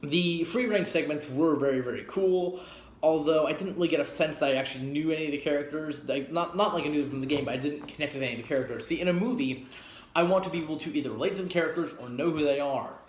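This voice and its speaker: A man's voice: a little high, quick pace. A man giving a casual, opinionated spoken review, rambling and emphatic, often correcting himself as he goes. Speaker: video game movie reviewer. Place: echoey spot up by a street. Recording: echoey, noisy, near street traffic. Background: traffic and engine noise.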